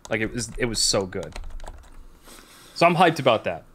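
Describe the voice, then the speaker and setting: A man's voice: on the low side, big pitch swings, moderate pace, a bit bright, a little hiss or hum. A man co-hosting a podcast in casual chat, blunt and opinionated in how he talks. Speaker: podcast co-host.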